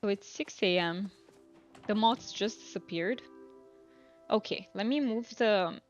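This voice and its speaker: Woman streaming a game, chill rambly chat, thinks out loud, medium pitch, bit of music behind her. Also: dull audio. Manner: relaxed, rambling, thinking aloud. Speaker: live streamer. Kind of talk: casual chat while playing a video game.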